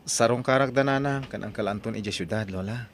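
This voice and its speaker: A man's voice: lowish voice, brisk. A man playing a kind, dutiful grown grandson who speaks respectfully and earnestly in an Ilocano drama. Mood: concerned. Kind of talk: Ilocano radio-style drama acting.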